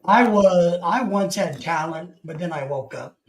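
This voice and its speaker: A man cracking dry, deadpan jokes in a casual group chat. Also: high voice, measured pace.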